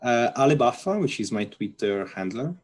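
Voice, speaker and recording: A man from northern Italy, answering interview questions in a relaxed, hesitant way with fillers. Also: mid-range voice, normal speed. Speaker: guest, software architect.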